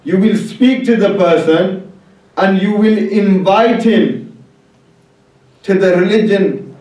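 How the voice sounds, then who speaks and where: A man preaching a religious sermon to a congregation in an emphatic, deliberate way, repeating key phrases. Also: high voice, darkish tone. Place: large echoing religious hall. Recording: very echoey hall, distant mic.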